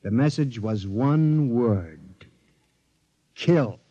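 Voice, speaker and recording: A man's voice: medium pitch, animated, drawn-out words, warm tone. An actor playing a frantic man who believes machines are alive, in 1950s radio drama. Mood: grim. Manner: narrates then pleads in rising panic. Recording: dull old radio broadcast.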